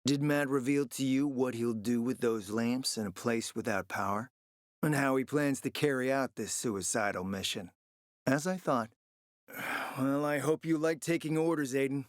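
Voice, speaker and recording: A man's voice: mid-range voice, moderate pace, dry recording, clean studio sound, natural voice. An actor playing a smug, flirtatious, decadent gourmand fixer in a video game, speaking in a pompous, theatrical, condescending way and savoring his words. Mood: smug, scheming. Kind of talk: video game character acting.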